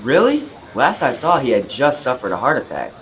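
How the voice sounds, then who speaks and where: A man's voice: mid-range voice, wildly swooping pitch, brisk, a bit bright. A man acting a friendly, curious café worker in an amateur radio play, full of wide-eyed questions. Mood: surprised, curious.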